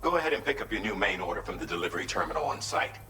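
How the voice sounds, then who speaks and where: A man playing a composed, formal mission controller in a video game, speaking in measured, articulate and polite briefings. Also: big pitch swings. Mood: encouraging, formal. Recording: echoey hall, distant, noisy rumbling ambience.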